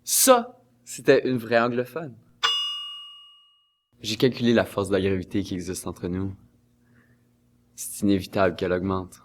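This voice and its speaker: A man's voice: lowish voice, animated, measured pace, pauses often, slight background noise. A young man delivering cheeky, joking lines in a scripted comedy sketch. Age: young adult. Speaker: youth project member.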